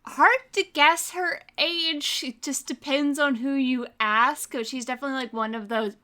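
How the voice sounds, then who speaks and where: Casting: female tabletop player, conversational, bright clear-cut tone, on the higher side. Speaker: roleplaying game player. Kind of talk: casual character introduction and banter.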